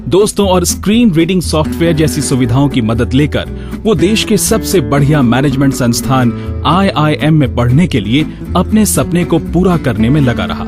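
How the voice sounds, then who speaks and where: A male radio host with a fairly high voice and very animated intonation reads scripted narration. Music with guitar plays clearly behind him. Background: background music with guitar.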